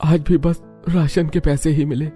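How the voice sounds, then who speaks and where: A man acts a humble young village husband in a cartoon. His voice lifts high with emotion and sounds strained and downcast. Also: level tone, a little slow, mellow, soft background music, close to an everyday voice. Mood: dejected. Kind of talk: Hindi cartoon story voice acting.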